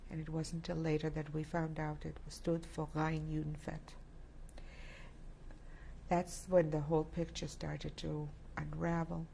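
A Polish-born woman in her sixties, a native Polish speaker, giving reflective oral history testimony in a halting way, with many restarts. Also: deep voice, completely flat delivery, gravelly, mellow, a little hiss or hum. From Poland. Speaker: interviewee. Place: indoor studio set with plants.

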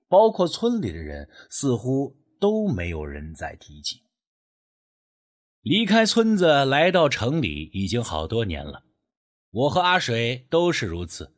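A man narrating a first-person ghost story as a dramatic, scripted audiobook. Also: a little high.

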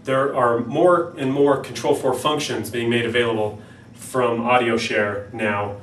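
A man in his thirties with a North American accent, giving an explanatory product demonstration in a somewhat halting way. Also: medium pitch, fairly even intonation. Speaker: company product presenter. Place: small plain-walled room indoors. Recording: roomy, distant mic, faint background noise.

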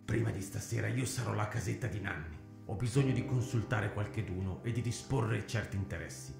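A man voices a resolute, fatherly plotter with a low, heavily creaky voice. He is measured and authoritative in radio-drama style, echoing strongly over guitar music. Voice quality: gravelly. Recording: very echoey room, guitar music behind.

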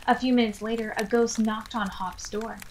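A woman's voice: on the high side, steady tone, moderate pace, slight background noise, poor audio. A woman, reading a picture book expressively for preschoolers. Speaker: children's storytime reader. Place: small furnished room indoors.

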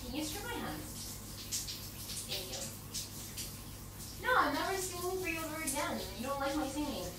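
A woman doing an intimate girlfriend roleplay in casual one-sided chat, playful and teasing, often halting and repeating words. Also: high-pitched, animated, distant mic. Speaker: girlfriend roleplay audio performer. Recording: very echoey bathroom-like sound, off-mic, background water noise.

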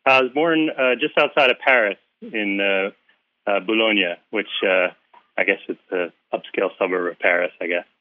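A guest author from the Philadelphia area chatting in an interview, hesitant with lots of uhs but friendly. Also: male voice, on the low side, normal speed. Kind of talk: casual interview chat. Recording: tinny phone-like line, dry.

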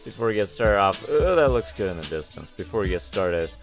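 A man with a slightly raspy voice, recovering from losing it, giving casual, unscripted gaming commentary as he thinks aloud. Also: on the low side, sing-song, fairly quick, music in the background. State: recovering from a lost voice. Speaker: host of a gaming let's-play series. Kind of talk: casual game build commentary.

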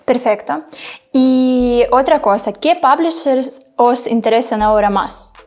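A woman's voice: a little high, unhurried. A young adult woman interviewing a guest from a studio, with brief affirmations and polite prompts. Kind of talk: business interview questions.

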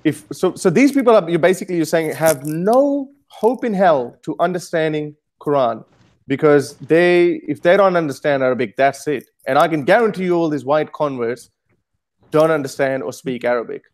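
A man's voice: fairly high pitch, expressive, moderate pace, a little mellow. A man in his thirties whose first language is Urdu presses a heated argument. He stammers and repeats words as he talks. He speaks into an earphone mic in a home kitchen. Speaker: host. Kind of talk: debate over video call.

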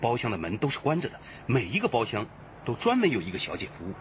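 A resolute, methodical detective in a radio crime drama. His mid-pitched voice has lively intonation. The recording is slightly muffled, with faint noise. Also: quick pace. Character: resolute, orderly male police detective. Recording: muffled band-limited audio, faint background noise.

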